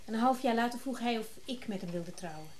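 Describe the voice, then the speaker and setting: A woman's voice: fairly high pitch, animated, fast, a little mellow, a little hiss or hum, natural voice. A woman playing a chatty, candid rich wife with humble roots, often hesitating, in a naturalistic radio drama. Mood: candid, reflective. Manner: chatty, hesitant, breaking off mid-thought.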